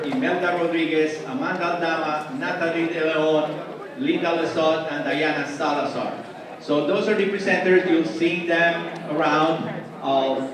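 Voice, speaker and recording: A male event host gives informal introductions in a halting, searching way. His voice sits slightly high and comes from far off in a very echoey hall, with audience murmur around him.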